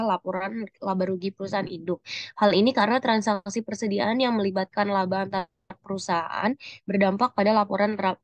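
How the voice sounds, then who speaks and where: A young woman presents study material in a very dry recording. Her voice sits at an ordinary pitch for a woman. She reads in a halting way, stumbling and starting words again. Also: dry recording. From Indonesia. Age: young adult. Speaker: university accounting student presenting. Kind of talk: scripted group presentation, reading answers aloud.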